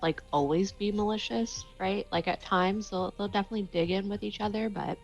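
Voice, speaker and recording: A woman's voice: mid-range voice, fairly quick. A woman playing a tabletop role-playing game, casually describing her character and checking ideas with the others. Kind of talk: casual improvised character discussion. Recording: slightly dull, limited-band sound. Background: faint music.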